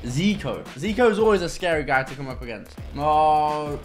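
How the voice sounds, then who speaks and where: Excitable young man, roomy echo. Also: fairly high pitch, moderate pace. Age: young adult. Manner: excitable, loud outbursts at goals, muttering between plays. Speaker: gaming content creator. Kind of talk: live gameplay commentary. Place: home gaming room indoors. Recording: roomy echo, faint game music behind.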